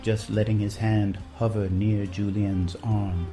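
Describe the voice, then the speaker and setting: A man narrating a literary romance in a measured, descriptive storytelling manner. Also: fairly low pitch, little pitch movement. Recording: faint music behind.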